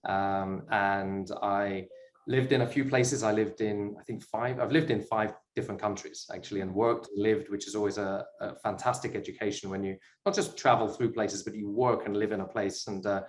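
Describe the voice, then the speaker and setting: A man's voice: lowish voice, fairly even intonation, normal speed, roomy sound. A middle-aged man from the UK with a British accent, talking as an interview guest in a chatty, self-deprecating way with frequent fillers. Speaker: guest, sports investor. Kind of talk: casual interview talk.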